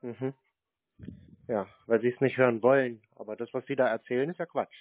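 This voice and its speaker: A man's voice: medium pitch, fairly even intonation, brisk, no echo. A man arguing on a phone call in a contrarian, pedantic manner. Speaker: scam-baiter taking calls. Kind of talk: argumentative phone conversation. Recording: narrow, dull phone line.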